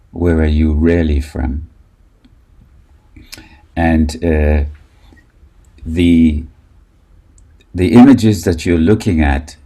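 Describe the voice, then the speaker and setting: Man, very deep voice, slow, mellow tone, halting live talk. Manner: halting, with many fillers and restarts. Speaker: artist presenting his installation.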